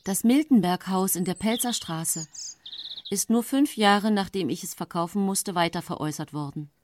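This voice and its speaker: An actress playing a cold, scheming woman poisoner who confesses her own case in a radio play, confiding and deliberate. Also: mid-range voice, lightly acted. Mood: cool, deliberate. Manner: confiding, deliberate, sometimes hissing in a whisper. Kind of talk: radio play first-person narration.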